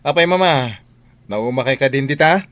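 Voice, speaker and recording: A man playing a courteous grown son and household boss in a radio drama, measured and authoritative. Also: medium pitch, expressive, fairly bright tone, no room sound. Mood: polite, businesslike.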